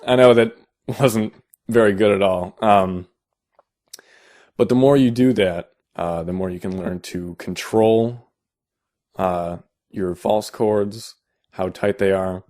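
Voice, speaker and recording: A young man with a deep voice, explaining casually in a how-to video, halting with many fillers and restarts. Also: darkish tone. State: out of vocal shape. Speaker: vocal tutorial maker.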